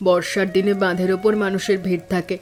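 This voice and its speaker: A woman voicing a supportive, generous village neighbour in an animated cartoon story, speaking reassuringly. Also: medium pitch, quick pace, faint music behind, lightly acted. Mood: encouraging.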